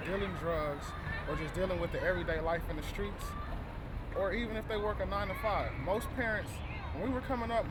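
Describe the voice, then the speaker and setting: A man speaking candidly and reflectively in an interview, earnest and repeating phrases to drive his point home. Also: fairly high pitch. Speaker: interviewee, former gang member. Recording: very noisy outdoor street, traffic. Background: loud ambient traffic and vehicle noise.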